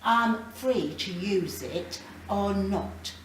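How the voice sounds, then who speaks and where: A woman's voice: mid-range voice, extremely slow. A woman voicing a sceptical, stubborn woman from a short story, hesitant and protesting. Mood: indignant. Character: sceptical, stubborn, indignant woman non-voter. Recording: roomy, distant mic, faint background noise.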